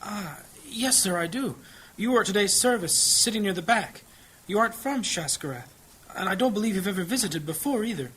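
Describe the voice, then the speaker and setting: A man's voice: high-pitched, animated, brisk, fairly bright tone, poor audio. An actor playing a weary, anxious old priest in a fantasy audio drama, humble and hesitant, with sighs in his voice. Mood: flustered, humble. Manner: hesitant, stammering when flustered. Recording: clear background ambience noise.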